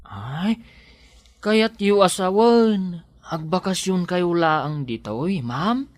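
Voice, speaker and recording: A kindly rural farmhand in an Ilocano-accented radio drama. He talks slowly and deferentially, hesitant and eager to help, easily flustered by his lady employer. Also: fairly low pitch, expressive, a little mellow, dry recording. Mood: shy, polite.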